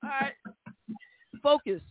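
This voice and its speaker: A man's voice: very high-pitched, slow, crowd noise. A man co-hosting casually, feeding short prompts in a word game. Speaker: show co-host. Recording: dull lo-fi, faint noise.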